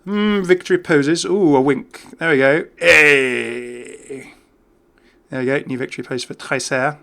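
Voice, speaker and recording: A man's voice: a little high, measured pace, creaky, a bit bright. A British man hosting a gaming video with chatty, casual, off-the-cuff commentary. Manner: chatty, easily amused.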